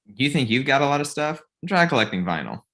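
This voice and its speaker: Lively, swooping young male roommate read by an actor. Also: mid-range voice, fairly quick. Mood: teasing. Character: easygoing dog-loving male roommate in his twenties. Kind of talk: comedy table read dialogue.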